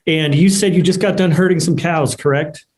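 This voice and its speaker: A man's voice: on the high side, fairly even intonation, moderate pace, a little mellow. A man hosting an online event, chatting casually with guests and handing over to others. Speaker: event host.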